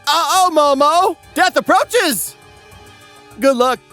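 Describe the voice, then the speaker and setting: A man's voice: extremely high pitch, animated, slow, pushed and bright. A man reading a scripted comedic anime recap as narrator, sardonic and theatrical. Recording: music behind.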